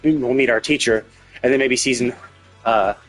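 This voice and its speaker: A man's voice: medium pitch, moderate pace, a bit bright, soft background music, poor audio. A man talking casually on a game livestream, thinking aloud in a rambling way and often repeating his words. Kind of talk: casual livestream commentary, speculating.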